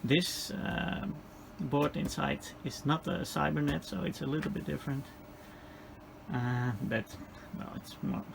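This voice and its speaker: Man, slightly high pitch, slow pace, tentative muttering, hands-on repair demo, noisy handheld camera recording. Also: vocal fry. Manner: halting, tentative, muttering through each step. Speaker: host of a radio repair video. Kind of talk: hands-on repair demonstration, thinking aloud.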